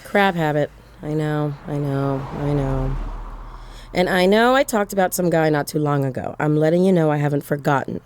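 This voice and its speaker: A woman's voice: low-pitched, moderate pace, darkish tone, slight background noise, close to an everyday voice. An actress playing a lonely woman driving at night, confiding in a wry, digressive monologue for an audio horror drama. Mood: wry, rambling. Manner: wry, digressive, musing, breaking off into asides. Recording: music under the voice.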